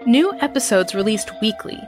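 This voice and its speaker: A woman podcast host reading scripted promotional narration in a clear announcing style. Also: fairly high pitch, lively intonation, normal speed, music in the background.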